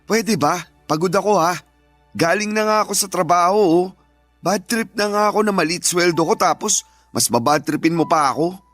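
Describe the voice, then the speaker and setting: A tired, dismissive Filipino working husband in a radio drama. His voice runs a little high, exasperated and evasive. There is faint music behind him. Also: normal speed, a bit bright, dry recording. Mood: irritated.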